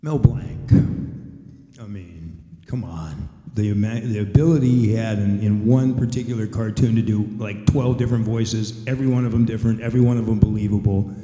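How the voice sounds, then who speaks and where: Mellow-voiced man on a panel, echoey hall. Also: on the low side, brisk. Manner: emphatic, exasperated asides. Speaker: voice actor panelist. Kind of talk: convention panel talk.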